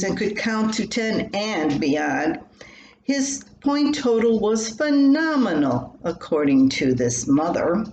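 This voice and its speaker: A woman's voice: medium pitch, darkish tone. An older woman reading her own scripted personal story aloud. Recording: roomy echo, faint background noise.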